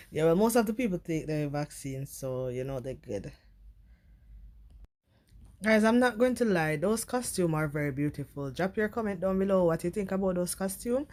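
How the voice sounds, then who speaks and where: A woman's voice: lowish voice, animated, normal speed, pauses often, darkish tone. A young woman with a Trinidadian accent, chatting casually to her viewers in a vlog and slipping into Trini creole. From Trinidad and Tobago. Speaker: vlogger.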